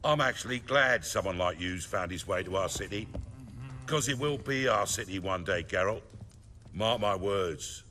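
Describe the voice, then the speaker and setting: An actor playing a confident, scheming middle-aged underworld boss in a fantasy video game, speechifying in measured, colloquial English. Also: male voice, low voice, pushed and bright. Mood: scornful, fervent. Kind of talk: dramatic video game dialogue.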